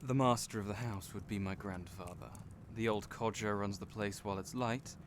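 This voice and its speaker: A man's voice: lowish voice, normal speed, lightly acted. A man playing a friendly, teasing young innkeeper in a fantasy audio roleplay, chatty and playful, speaking right to the listener. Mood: genial, chatty. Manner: chatty, playful, genial storyteller. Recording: noisy storm and fire ambience behind. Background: storm and crackling fire ambience.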